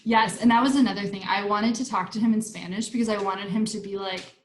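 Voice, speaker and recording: A woman's voice: medium pitch, fairly even intonation, fast, echoey room, a little hiss or hum. A young woman from Argentina talks casually in an interview, with lots of likes, restarts and laughs. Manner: chatty, many likes and restarts, laughs often. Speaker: staff writer and interview guest.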